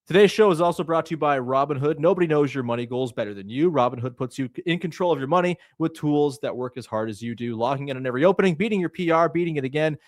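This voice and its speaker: A man's voice: fairly high pitch, no echo, studio quality. A man, speaking as a sports podcast host. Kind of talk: sports podcast talk and sponsor reads.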